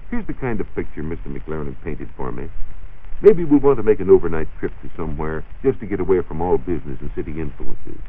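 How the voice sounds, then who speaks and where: A man's voice: very deep voice, big pitch swings, quick pace, breathy edge. An American man working as a science reporter, giving a semi-scripted talk in 1940s radio style on an old broadcast recording. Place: radio studio. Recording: muffled, narrow-band 1940s radio recording.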